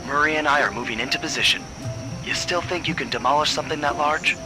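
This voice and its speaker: An actor playing a suave, supportive raccoon thief with a casual, wry delivery in a cartoon video game. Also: male voice, fairly high pitch, fast talker, lightly acted, rough recording. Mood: easygoing. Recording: game music behind voice. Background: game music.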